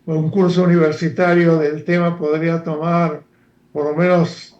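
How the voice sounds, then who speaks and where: A man's voice: fairly high pitch, flat delivery, darkish tone. Older man, echoey lo-fi line. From Argentina. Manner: halting, many fillers and restarts. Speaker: guest analyst, former professor. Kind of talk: lecture-like interview talk.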